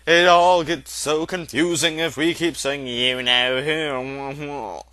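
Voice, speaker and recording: Old wizard, acted by a male reader: calm, courteous, gently amused, unhurried storybook delivery, clear forward tone. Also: a little high. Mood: patient.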